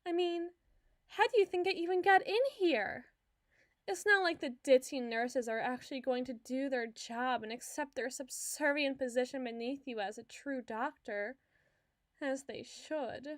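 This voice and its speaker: A woman's voice: a little high, no echo, studio quality, close to an everyday voice. A woman playing an obsessive, lovesick hospital patient in an ASMR roleplay, talking in a dramatic, coy and wheedling way. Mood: adoring, intense, then pushy, pleading. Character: obsessive, clingy lovesick female patient, theatrical flirt.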